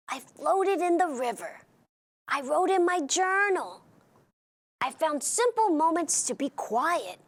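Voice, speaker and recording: A woman voicing an earnest, eager-to-please perfectionist egg in an expressive children's storybook read-aloud. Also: high voice, vocal fry, full character voice. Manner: expressive, deliberate storybook delivery.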